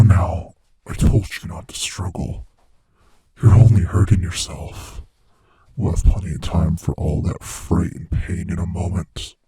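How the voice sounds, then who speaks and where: A man playing a sadistic, playfully menacing monster from under the bed in a horror ASMR roleplay, with a breathy, raspy voice that often sinks into whispers as he taunts the listener. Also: deep voice, no echo, heavily acted. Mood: threatening. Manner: slow, taunting, savoring, hushed menace.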